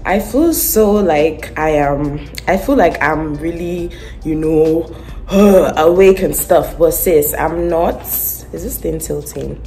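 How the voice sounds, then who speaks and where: A woman's voice: low voice, slow pace, mellow, faint music behind. A young woman in her twenties chatting casually to her phone camera in a home kitchen, rambling with lots of fillers. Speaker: vlogger. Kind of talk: casual vlog chat. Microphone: phone camera at arm's length.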